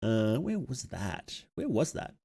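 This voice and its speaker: Male livestream reactor, medium pitch. Casual, unscripted commentary, often questioning himself and reasoning things through aloud. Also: very animated, slow pace, vocal fry, mellow. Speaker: streamer reacting to horror videos.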